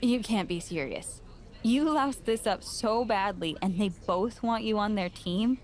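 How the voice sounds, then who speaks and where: A woman's voice: medium pitch, measured pace, natural voice. A woman playing an exasperated, sarcastic wrestling promoter in a scripted video game cutscene.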